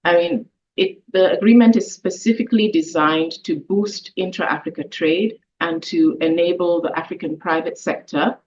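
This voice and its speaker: A woman's voice: on the low side, steady tone, normal speed, darkish tone. A woman answering as a panelist in a webinar, polite and formal, speaking over a video call. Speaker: panelist, trade official. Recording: dull video-call audio.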